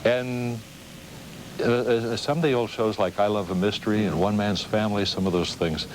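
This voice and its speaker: A man hosting a conversational TV interview, speaking in a halting, musing way. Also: on the low side, steady tone. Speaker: TV interview host. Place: television studio. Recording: noisy old TV broadcast recording.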